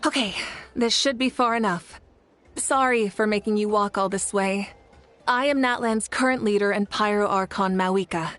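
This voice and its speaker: A woman playing a composed, courteous national leader in an anime game, speaking in a measured, polite and slightly formal way. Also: medium pitch, fairly bright tone, faint music behind, lightly acted.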